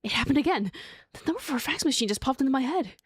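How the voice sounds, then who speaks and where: Woman reading as earnest young woman, lively pitch. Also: rapid-fire, breathy edge, no room sound. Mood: puzzled resolve. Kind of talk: reading game dialogue aloud.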